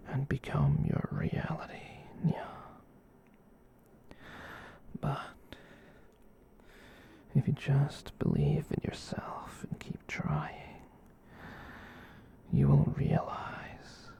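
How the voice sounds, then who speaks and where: A performer plays a huge, gentle catboy in an ASMR roleplay, comforting someone tiny. The voice is a very slow whisper, mellow and breathy, with heavy fry at its edges. The manner is tender, rambling and full of reassurance. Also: mid-range voice. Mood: earnest, encouraging.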